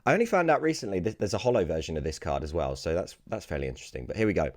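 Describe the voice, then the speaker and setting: A British man talks casually as the host of an unboxing video. His voice is low, hoarse and very raspy, as if lost from shouting, and it moves up and down a lot. The sound is close and dry. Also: big pitch swings, racing, no echo. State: hoarse, lost voice from screaming the night before. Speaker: sports card video host.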